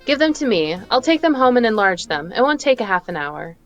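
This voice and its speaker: A woman's voice: on the high side, sing-song, fairly quick, a bit bright, muffled recording, soft background music. A woman playing a detective's earnest young girlfriend in a radio play. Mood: earnest, helpful. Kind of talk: radio play table read.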